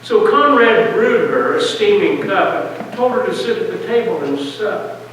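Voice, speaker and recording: A man reading a narrative poem aloud like a storyteller at a church service, deliberate and pausing between short phrases. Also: high voice, animated, a bit bright. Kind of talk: dramatic poetry reading, storytelling. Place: large church hall, heard from a distance. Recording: distant, echoey church hall, faint noise.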